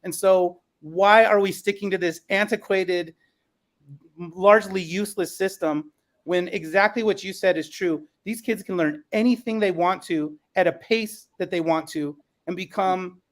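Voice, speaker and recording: A man's voice: high voice, measured pace. A man hosting a podcast panel as an educator, speaking in an earnest, emphatic way.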